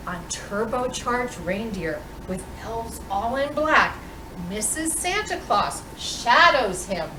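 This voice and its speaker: Lady reading a kids book out loud, really swoopy expressive voice w dramatic pauses, noisy background. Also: medium pitch. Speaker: storytime reader playing Mrs. Claus. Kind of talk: reading a children's picture book aloud. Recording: roomy, distant microphone with clear background noise.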